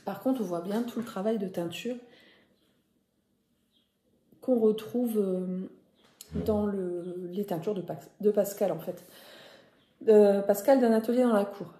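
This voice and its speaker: A woman's voice: medium pitch, a little slow, pauses often, mellow. A woman from northern France with a Ch'ti accent, hosting a knitting podcast in a casual, hesitant chat full of self-corrections. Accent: Ch'ti (northern French). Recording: echoey room.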